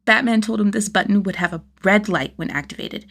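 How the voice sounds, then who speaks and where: A woman reading a story aloud as an expressive scripted narration, with a slightly husky voice. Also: medium pitch, fairly quick. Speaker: audiobook-style narrator of fan fiction.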